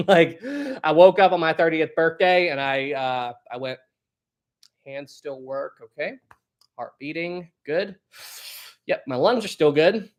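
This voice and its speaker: A man in his thirties hosting a casual live stream, chatting and reading viewer comments aloud, laughing often. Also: fairly high pitch. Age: 30s. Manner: chatty, laughs often, reads chat aloud with playful bursts.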